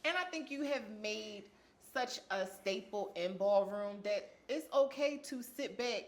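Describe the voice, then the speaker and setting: A woman's voice: mid-range voice, expressive, unhurried. A woman interviewing casually on a podcast, speaking in an encouraging, conversational way.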